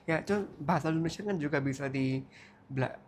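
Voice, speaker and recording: A man's voice: a little high, fairly quick, slight background noise. A man, co-hosting a casual chat in a laid-back, dry way with short answers. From India. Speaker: co-host.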